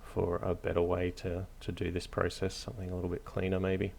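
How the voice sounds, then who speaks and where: A man hosting a hobby tutorial, narrating casually off the cuff in a hesitant, low-key, encouraging way. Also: completely flat delivery, brisk, gravelly, darkish tone, slight background noise. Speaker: hobby craft tutorial host.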